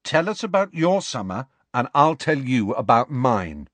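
A man voicing a determined teenage boy wizard who talks quickly and urgently. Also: medium pitch, sing-song, fairly bright tone, no echo, voice pushed up. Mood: eager.

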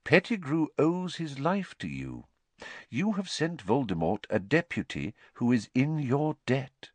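Elderly male headmaster, a man's character voice: gentle, quiet, sagely measured, lilting pitch, audiobook. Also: breathy edge, dry recording. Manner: quiet, measured, soft-spoken, reflective.

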